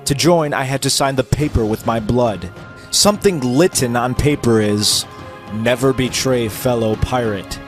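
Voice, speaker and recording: A man's voice: medium pitch, unhurried. A man narrating an amateur pirate story as a theatrical dramatic reading. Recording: music playing behind the voice. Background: music.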